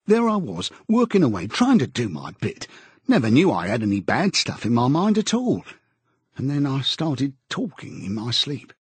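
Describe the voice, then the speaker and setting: A man's voice: medium pitch, huge pitch swings, normal speed, slightly rough, no room sound, pitched above the performer's own voice, full character voice. A man voicing a pudgy, naive, servile prisoner in an audiobook, eager and stammering. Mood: sanctimonious, confiding. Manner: pleading, blubbering, stammering, sententious, old-chap British idiom.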